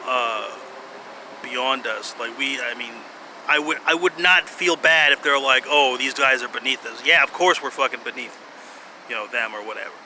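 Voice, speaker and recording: A man in his thirties rambles in a medium-pitched voice, stopping and restarting with a sardonic edge. He is outdoors, with clear street and vehicle noise around him. The delivery is a loose, casual monologue. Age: 30s. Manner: halting, repetitive, sardonic, profane. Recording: clearly noisy outdoor urban ambience, traffic.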